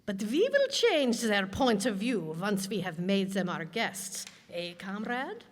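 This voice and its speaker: A woman plays a scheming vegetable dictator for radio satire, commanding and theatrical. The pitch moves widely at a middling height, in a hall with long reverb. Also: big pitch swings, slow pace. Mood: scheming, casual. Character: scheming, imperious onion-state leader in a radio satire. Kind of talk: satirical radio play acting. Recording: echoey hall stage performance.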